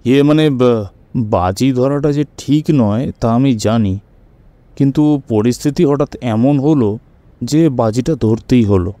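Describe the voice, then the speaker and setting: Man acting chatty kite enthusiast with a very mellow voice. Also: mid-range voice, measured pace, no echo, natural voice. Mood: sheepish. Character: Fatikbabu, keen kite-flying man, new tenant, earnest and proud. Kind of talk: radio audio-story acting, conversational storytelling.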